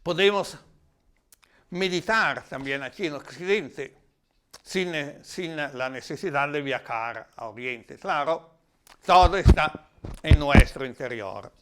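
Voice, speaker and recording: A man with an Italian accent giving a reflective, explanatory talk in a language that is not his native one, sometimes halting as he searches for words. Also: fairly high pitch, animated, clear, resonant tone. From Italy. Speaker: lecturer.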